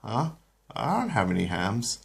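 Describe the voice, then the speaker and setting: A man's voice: fairly low pitch, big pitch swings, a little slow, creaky, exaggerated voice. A man voicing a dim, easily scared teenage boy who speaks simply and whines in panic. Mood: panicky.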